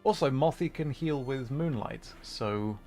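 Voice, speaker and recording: A man's voice: mid-range voice, unhurried, darkish tone. Rambling man streamer with music behind. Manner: rambling, thinking aloud. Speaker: livestream host. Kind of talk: casual gaming livestream chat while playing.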